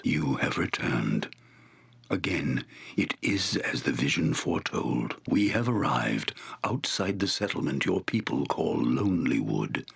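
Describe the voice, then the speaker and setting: Very deep gravelly barbarian shaman. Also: fairly bright tone, exaggerated voice. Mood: solemn. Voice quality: gravelly, raspy, breathy. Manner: solemn, portentous, formal archaic phrasing. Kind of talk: fantasy video game voice acting.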